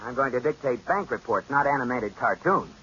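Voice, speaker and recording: A dry, breathy-voiced banker husband. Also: male voice, medium pitch, expressive, a little fast, bright, forceful tone, heavily acted. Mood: patient. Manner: patient, dry, mildly exasperated. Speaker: husband in a radio sitcom. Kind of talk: 1940s radio comedy acting. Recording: dull lo-fi old radio recording.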